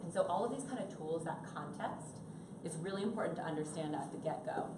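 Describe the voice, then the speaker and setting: A woman's voice: low voice, animated, fairly quick. A woman in her thirties giving a chatty, upbeat workshop talk to a live audience without a microphone. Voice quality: loud, projecting voice. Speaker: conference presenter. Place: conference room with an audience. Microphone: no personal mic, recorded from a distance. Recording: echoey room from far off, noisy.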